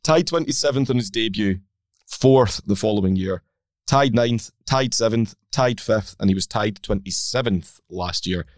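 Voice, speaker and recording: Guy, a bit croaky, low voice, calmly listing golf results, dry sound. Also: dry recording. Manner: measured, reels off stats. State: slightly croaky. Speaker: podcast co-host. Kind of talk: casual golf chat.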